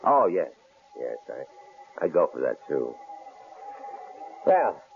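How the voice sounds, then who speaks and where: An actor playing a menacing, sneering male stranger in an old radio drama, with a gravelly, mocking drawl. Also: rumbling low, very animated, slow, faint music behind, heavily acted. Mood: leering. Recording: tinny old radio broadcast, faint hiss.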